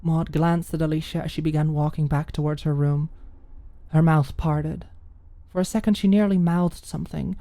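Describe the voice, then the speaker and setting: A woman's voice: low-pitched, steady tone, normal speed, dark tone, dry recording. A woman reading scripted fiction as an audiobook narrator.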